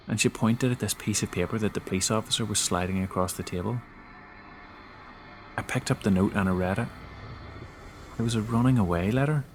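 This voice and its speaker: A man giving a dramatic first-person narration of a scary story, with halting, emotive delivery. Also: on the low side, slight background noise. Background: background music.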